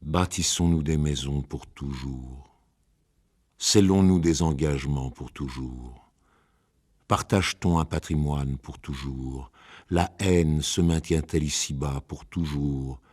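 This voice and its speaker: A man reading ancient epic poetry aloud in a solemn, measured, declamatory way. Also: extremely low pitch, darkish tone.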